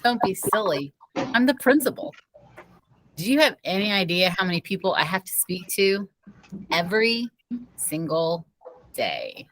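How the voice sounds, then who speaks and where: A woman's voice: lowish voice, big pitch swings, unhurried, pauses often, a bit bright, a little hiss or hum, poor audio. A woman reading the part of a stern, curt female school principal in a children's audiobook. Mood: incredulous.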